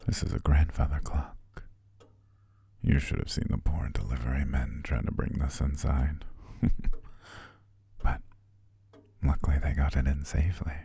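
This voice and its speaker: A man playing a courteous, devious hypnotist in an ASMR roleplay, speaking in a soothing, breathy whisper with genteel, deliberate pauses. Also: fairly even intonation, dark tone, exaggerated voice. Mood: fond, proud. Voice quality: whispery, breathy, very low and gravelly. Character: devious, courteous male hypnotist welcoming a client.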